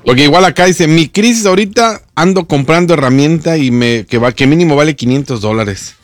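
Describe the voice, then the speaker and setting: A man's voice: fairly high pitch, brisk, no room sound. A man, co-hosting a radio chat in a playful, joking way. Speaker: radio co-host.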